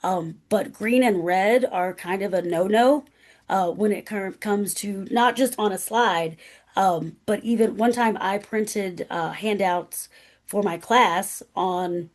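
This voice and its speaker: A woman speaking as a guest presenter, a professor with a loud, carrying voice who talks emphatically, often checking in with "right?". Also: medium pitch, takes it slowly. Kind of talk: conversational presentation.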